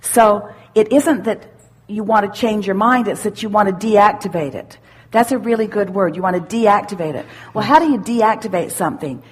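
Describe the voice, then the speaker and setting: A woman giving spiritual self-help teaching to a questioner, assured and deliberate, repeating phrases for emphasis. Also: mid-range voice, husky. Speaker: speaker leading a question-and-answer workshop.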